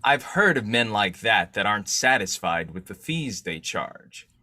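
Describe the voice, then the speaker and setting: A man playing an urbane newspaper publisher in an old-time radio drama, measured, polite and formal with a sly streak. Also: on the low side, sing-song, sharp tone, full character voice. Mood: skeptical.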